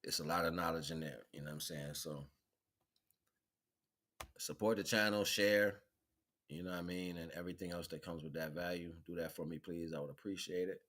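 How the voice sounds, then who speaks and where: A man's voice: sits low, steady tone, brisk, fairly bright tone. A man chatting casually as a livestream host, rambling in slang and repeating catchphrases.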